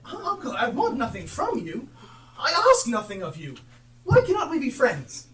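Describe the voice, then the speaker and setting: A woman acts a warm-hearted young nephew in a stage play, earnest and good-humoured. The pitch is high and swings widely, heard from a distance with some noise. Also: huge pitch swings, moderate pace. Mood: pleading, friendly. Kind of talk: theatrical stage acting. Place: theatre stage, live performance.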